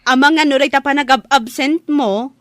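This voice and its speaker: A woman's voice: sits high, normal speed, fairly bright tone, no room sound. A woman acting in an audio drama as a nagging Filipino village woman who chides a drinker. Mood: chiding. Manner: chiding.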